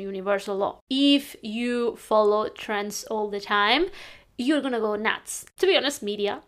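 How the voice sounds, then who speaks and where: A woman's voice: medium pitch, a bit bright. A young woman from northern Spain, talking earnestly and rambling a bit in a casual monologue. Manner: earnest, rambling, self-correcting. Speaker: lifestyle podcaster.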